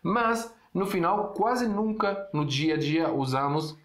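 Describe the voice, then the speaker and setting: A man from Syria with a Syrian Arabic accent, a native Arabic speaker, teaching a casual online lesson. He explains slowly, drags out example words, and uses many fillers and restarts. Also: high voice, expressive, a bit bright, roomy sound.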